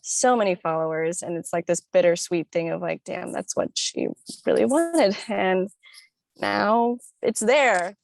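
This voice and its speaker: Chatty young woman with gravelly fry. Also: fairly low pitch, measured pace, no room sound. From born in Italy, raised in northern Florida. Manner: chatty, upbeat, frequent "like" and laughs. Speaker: podcast guest. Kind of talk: casual chat.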